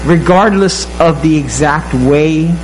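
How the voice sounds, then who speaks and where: A pastor leading communion, speaking softly through a face mask in a gentle, plain way. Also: male voice, fairly high pitch, slow pace, background noise. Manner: soft-spoken, gentle, talking through a mask. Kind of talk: communion sermon. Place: church sanctuary.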